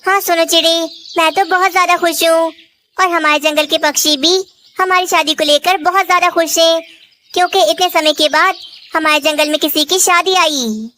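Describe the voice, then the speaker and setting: Casting: woman as young female cartoon sparrow, high-pitched and bright, devoted, polite, pleading. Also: steady tone, moderate pace, slight background noise. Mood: happy. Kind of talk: Hindi children's cartoon dialogue.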